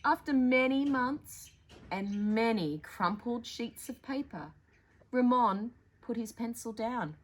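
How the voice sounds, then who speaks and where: Animated woman reading a children's storybook. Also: a little high, takes it slowly, slight background noise. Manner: playful, theatrical, with dramatic emphasis. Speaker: storytime presenter reading children's picture books. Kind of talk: animated picture-book reading with chatty asides to young listeners.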